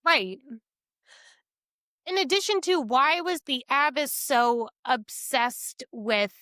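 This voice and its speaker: A woman with a high, bright voice co-hosts a casual book discussion. She takes her time, puzzling things out aloud in questions to her co-host. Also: expressive, slow pace, smooth, no room sound. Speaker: podcast co-host reviewing books.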